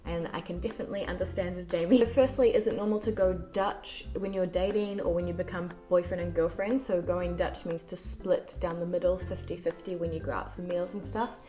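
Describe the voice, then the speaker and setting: A woman with a New Zealand accent softened by years abroad, answering viewer questions in a casual chat, speaking softly and articulating with care. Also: fairly low pitch, steady tone, faint music behind. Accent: New Zealand, softened by years in France. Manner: soft-spoken, slow and carefully articulated.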